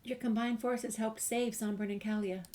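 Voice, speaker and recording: A woman's voice: a little high, fairly even intonation, normal speed, darkish tone. A woman hosting a game walkthrough, casually commenting and reading dialogue options aloud. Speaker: gamer, host of a game walkthrough.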